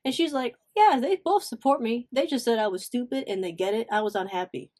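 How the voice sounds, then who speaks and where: Expressive woman telling a personal story. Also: fairly high pitch, fairly quick, background noise. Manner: animated, emphatic, acts out reported dialogue; informal slangy talk with swearing. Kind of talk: casual confessional storytime chat.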